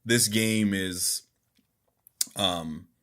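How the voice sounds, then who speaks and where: A man's voice: fairly low pitch, extremely slow, a bit bright. A man co-hosting a podcast in loose, rambling, informal chat, with some swearing. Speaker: podcast co-host. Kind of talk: casual gaming podcast chat.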